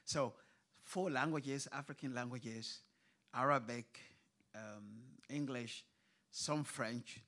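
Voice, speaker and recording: A man's voice: medium pitch, slow pace, pushed and bright. A man who grew up in Kuwait, speaking as a writer at a literature panel, hesitant with many fillers and restarts. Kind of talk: panel discussion. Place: event hall on stage.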